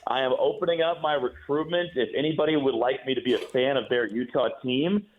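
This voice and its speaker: An American man from the Midwest, a play-by-play announcer giving a casual sports interview with animated, joking phrasing. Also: medium pitch, brisk, a little hiss or hum. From Midwestern United States. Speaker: radio play-by-play announcer, guest. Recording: thin phone-like line.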